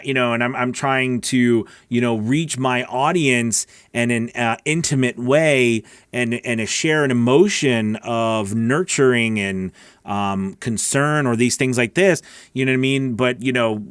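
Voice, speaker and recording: A man's voice: medium pitch, fairly bright tone, no echo. A middle-aged man giving casual, frank advice as a coach, conversational and often checking in with the listener, on a close studio microphone. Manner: frank, conversational, often checks in with "okay" and "you know what I mean". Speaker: voice-over coach and channel host. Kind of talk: casual advice talk to camera. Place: small home recording studio. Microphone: close broadcast microphone on a boom arm.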